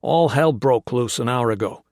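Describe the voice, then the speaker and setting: A laid-back prisoner of war, as a male reader puts him on, speaking easily and casually. His voice is gravelly and heard dry and close. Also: medium pitch, normal speed, darkish tone, dry recording, natural voice. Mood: laconic. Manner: easy, laconic. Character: easygoing American male prisoner.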